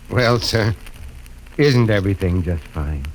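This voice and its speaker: A man's voice: lowish voice, sing-song, slow, slight background noise, rough recording. An actor playing a jolly, folksy older father who chuckles often in a 1950s radio drama. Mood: jovial. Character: jovial old father, proud navy family man.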